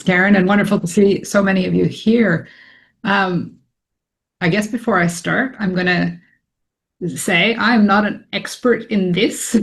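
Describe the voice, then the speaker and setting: Canadian woman giving a relaxed work talk. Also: medium pitch. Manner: conversational, frequent ums, self-deprecating. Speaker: academic statistician presenting a talk. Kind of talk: informal presentation to colleagues.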